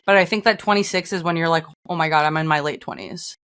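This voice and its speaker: A young woman in her early twenties talks casually on a podcast with her mother. Her voice is a bit low, and her speech is loose and conversational, with plenty of "like" fillers. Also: fast talker, a bit bright, clear, resonant tone, no room sound, clean studio sound. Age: young adult. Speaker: podcast co-host, daughter. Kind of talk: casual chat.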